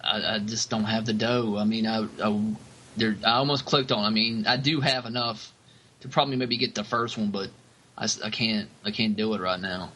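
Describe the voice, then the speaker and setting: A man co-hosting a podcast, chatting informally in a halting way. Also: lowish voice, steady tone, sharp tone, slight background noise. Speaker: podcast co-host. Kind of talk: casual podcast chat.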